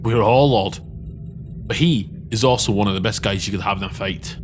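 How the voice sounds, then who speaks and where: A man's voice: on the low side, moderate pace, music in the background, close to an everyday voice. A man playing an easygoing, teasing starship chief in a sci-fi audio drama. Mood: wry, casual.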